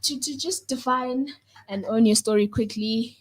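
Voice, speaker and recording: A woman's voice: a little high, a little slow. Young female radio host, South African accent, playful youth-show presenter who repeats herself for emphasis. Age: young adult. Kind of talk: lively youth radio talk show hosting.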